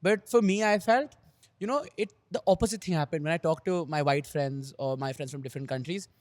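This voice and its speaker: A man's voice: fairly high pitch, fairly quick, dry recording. A young man with an Indian English accent, leading a casual group conversation as host with jokey asides. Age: young adult. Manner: talkative, leads the discussion, jokey asides. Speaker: podcast host.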